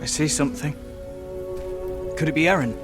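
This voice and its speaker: An actor playing an alert young travelling companion in a video game cutscene. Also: male voice, on the high side, moderate pace, lightly acted. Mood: curious, alert. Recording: music behind the voice.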